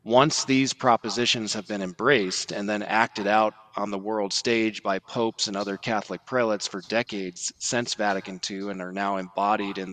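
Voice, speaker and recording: A man giving formal, measured religious commentary on a podcast, quoting documents and stressing points as he lists them. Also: on the low side, fairly bright tone.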